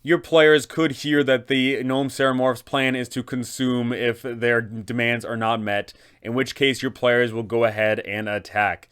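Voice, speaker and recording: A man's voice: medium pitch, little pitch movement, a little slow, few pauses, fairly bright tone, clear, resonant tone. Emphatic male tabletop gaming explainer. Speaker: host of tabletop game advice videos. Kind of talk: enthusiastic scripted explainer for game masters.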